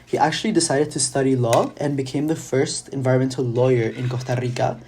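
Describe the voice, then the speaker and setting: A young man from Chile, raised in Argentina, speaks in a mellow, warm voice as a student guest on a podcast. He explains things at length and now and then breaks off to restart a sentence. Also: medium pitch, fairly even intonation, a little fast, a little hiss or hum. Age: young adult. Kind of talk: casual interview answers.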